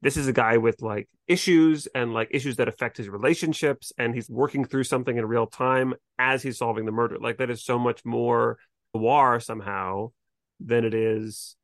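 A podcast co-host with a mid-pitched voice, talking casually and retelling scenes in a conversational style. The sound is close and dry. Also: steady tone, normal speed, dry recording. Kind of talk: casual discussion of a TV episode.

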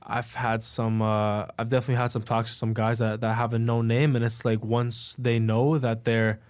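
A young man speaks as a podcast guest, relaxed and easygoing. His delivery stays level with little rise and fall, mid-pitched for a man. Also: moderate pace. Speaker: rapper guest. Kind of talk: casual interview talk.

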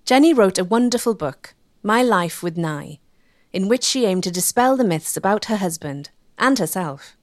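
A woman's voice: mid-range voice, animated, moderate pace, no echo, studio quality. A woman delivering scripted documentary narration as the narrator.

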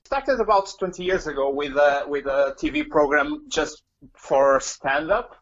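A man in his late thirties from Portugal, with a Portuguese accent and Portuguese as his first language, answering interview questions from home, halting and repeating words as he searches for them. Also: fairly high pitch, lo-fi sound. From Porto, Portugal. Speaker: guest comedian. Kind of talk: remote interview.

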